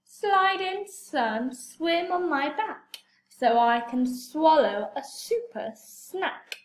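A woman's voice: high-pitched, expressive, very slow, heavily acted. A woman voicing a sly, hungry snake in a storybook, hissing and coaxing. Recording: roomy echo.

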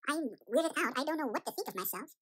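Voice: high-pitched, animated, quick pace, a bit bright. A performer voicing a panicky, bewildered young chipmunk boy in a cartoon fan story, rambling and repeating themselves in an anxious, dramatic way. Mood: confused musing.

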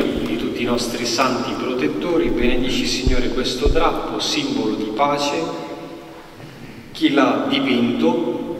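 Middle-aged priest, solemn measured blessing, reverberant church, distant camera. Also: male voice, mid-range voice. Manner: solemn, measured liturgical delivery. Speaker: parish priest. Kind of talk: blessing and sermon remarks. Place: large church interior. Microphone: stand mic at altar, far camera. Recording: echoey church from far off, faint hum.

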